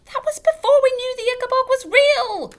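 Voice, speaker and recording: A woman's voice: very high-pitched, normal speed, voice pushed up. A woman voicing an angry, grieving widow in a children's story, fierce and indignant. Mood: screeching anger. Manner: cold, fierce, rising to screeching. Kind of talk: children's audiobook character voice. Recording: noticeable background noise.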